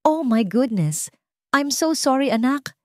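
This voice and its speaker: A woman's voice: sits high, expressive, a little slow, dark tone, dry recording, studio quality, pitched above the performer's own voice. A woman voicing a scheming stepmother in a story, falsely sweet and theatrical. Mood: feigned shock. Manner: theatrical, insincere, exclamatory.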